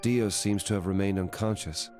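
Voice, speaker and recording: Game role for a man: deep, measured, puzzled sleeper, quietly questioning, light score behind. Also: steady tone, lightly acted. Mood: calm, inquiring. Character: puzzled, soft-spoken man just woken, doubting who he is. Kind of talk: video game voice acting. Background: game music.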